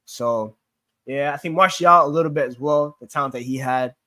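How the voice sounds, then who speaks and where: A man's voice: medium pitch, normal speed, bright, forceful tone, no echo. A young man from Greece who grew up in New York and talks with a Queens accent, casually chatting while answering questions from a live stream chat, rambling with plenty of fillers and restarts. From born in Greece, raised in New York. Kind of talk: casual livestream Q&A.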